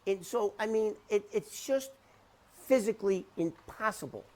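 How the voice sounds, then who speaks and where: A middle-aged man talking unscripted to the camera in an emphatic, repetitive, halting way as he rebuts claims. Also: sits high, a little mellow. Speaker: interview commentator debunking someone's claims.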